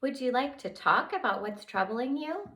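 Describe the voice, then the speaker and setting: Woman reading a kind young girl, gentle, polite, concerned, lively pitch. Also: normal speed.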